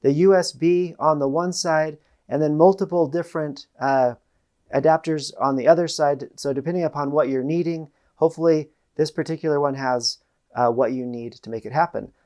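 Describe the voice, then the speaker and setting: A man's voice: on the high side, normal speed, a little mellow. A middle-aged American man hosting a product demonstration to camera, explaining things in a plain-spoken way. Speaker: host. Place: small indoor room with panelled wall. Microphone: lapel mic.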